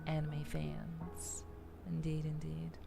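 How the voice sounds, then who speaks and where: A woman's voice: low-pitched, completely flat delivery, takes it slowly, creaky, music in the background. A woman streamer chatting casually to her live audience, thinking aloud and often repeating her words. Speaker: VTuber streamer. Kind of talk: casual livestream chat.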